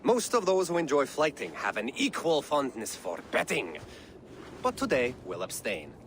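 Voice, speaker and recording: A theatrical old poet. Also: male voice, mid-range voice, moderate pace, a little hiss or hum. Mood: playful. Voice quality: theatrical, lively and rhythmic. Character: old male poet, playful mentor. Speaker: game character. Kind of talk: video game cutscene acting.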